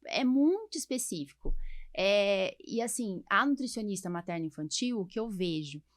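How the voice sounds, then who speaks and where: A woman's voice: medium pitch, expressive, normal speed, no room sound, clean studio sound. A Brazilian woman chatting as a podcast host and doctor, explaining things emphatically with frequent rhetorical questions. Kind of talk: casual podcast conversation, explaining to listeners.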